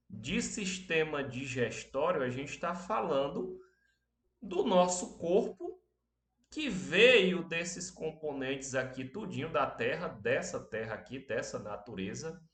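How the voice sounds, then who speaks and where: A Brazilian man teaching an online class, speaking softly because he has a cold and a sore throat. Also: fairly high pitch, reverberant. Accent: Brazilian Portuguese. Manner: speaks softly, slow and unhurried, many tag questions.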